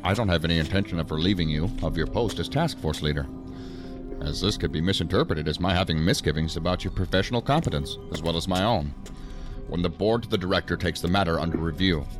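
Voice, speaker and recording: Man playing really deep-voiced agency boss, stern, dry, formal, sci-fi audio drama with music behind. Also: natural voice. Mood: stern, controlled. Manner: formal, measured, authoritative. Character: stern, dry male assistant director of investigative agency. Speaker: senior law-enforcement official.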